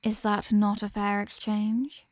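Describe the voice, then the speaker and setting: A woman's voice: medium pitch, monotone, a little slow, no room sound, natural voice. A woman playing a possessive, dominant vampire in an ASMR roleplay, speaking in a teasing, condescending manner with formal phrasing. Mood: persuasive, smug. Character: possessive, dominant female vampire toying with captive prey. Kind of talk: ASMR roleplay, scripted intimate monologue.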